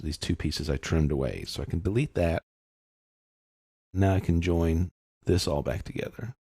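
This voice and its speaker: A man giving an informal, unscripted step-by-step software tutorial, explaining and thinking aloud as he works. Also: low voice, creaky, slightly rough, dry recording, clean studio sound.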